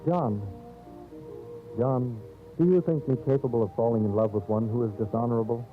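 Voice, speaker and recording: A man's voice: medium pitch, normal speed, soft background music, lightly acted. A male narrator voicing an earnest, refined young woman in love in a 1930s radio drama. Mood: earnest appeal. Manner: earnest, formal. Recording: old scratchy 1930s radio, narrow phone-like band.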